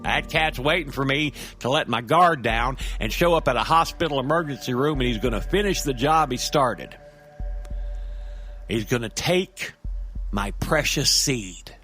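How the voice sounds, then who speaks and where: A man's voice: mid-range voice, unhurried, soft background music. Man acting a paranoid, frantic homeless guy. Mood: paranoid, defiant. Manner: agitated, excited rambling.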